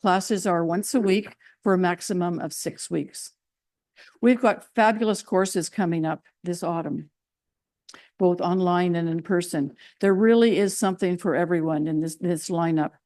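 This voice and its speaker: Mellow-voiced woman introducing a talk. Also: fairly low pitch, fairly even intonation, normal speed. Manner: chatty, with restarts and fillers. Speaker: host introducing the speaker. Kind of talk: event introduction.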